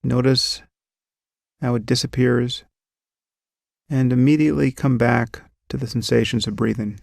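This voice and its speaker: A man calmly guiding a meditation, giving slow, gentle instructions about breath and sensation. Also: medium pitch, creaky, no room sound, clean studio sound. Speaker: meditation guide. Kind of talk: guided meditation.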